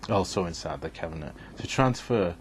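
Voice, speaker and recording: A man's voice: low voice, animated, fairly quick, slight background noise. A man giving a scripted, methodical instructional narration in an explanatory way.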